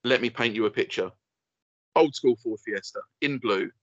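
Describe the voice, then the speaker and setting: A man's voice: mid-range voice, normal speed, a bit bright, no room sound. A young man co-hosting a podcast, telling rambling, sweary stories and laughing often. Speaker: podcast co-host. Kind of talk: casual banter. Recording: dull lo-fi dry sound.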